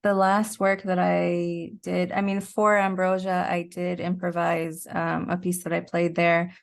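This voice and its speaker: A woman's voice: on the low side, flat delivery, a little slow, gravelly. A woman speaking as an interview guest, chatting informally and often chuckling through her words. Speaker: interview guest, actor and musician. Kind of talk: casual interview chat.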